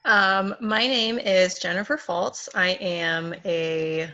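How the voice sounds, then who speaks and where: A woman from Ohio, a podcast guest, introducing herself in a slow, wry, joking way. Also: mid-range voice, bright, forceful tone, muffled recording. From Ohio, United States. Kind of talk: casual podcast chat.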